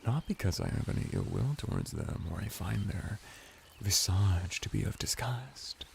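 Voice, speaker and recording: A man's voice: lowish voice, lively intonation, vocal fry, slight background noise. A man voicing a flirty, courteous merman bath attendant in an intimate, formally worded ASMR roleplay. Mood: gentle, earnest. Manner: slow, intimate, formal wording with lingering pauses.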